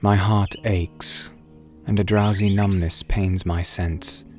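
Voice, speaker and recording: A man giving a dramatic poetry reading in a solemn, measured, wistful manner, drawing out his phrases. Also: deep voice, little pitch movement. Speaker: poetry reader and narrator. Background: music and birdsong.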